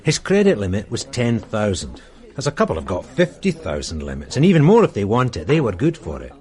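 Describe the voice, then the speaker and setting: A shrewd, dependable police sergeant in a radio drama. He lays out his reasoning in a calm, measured way. His voice moves with lively pitch.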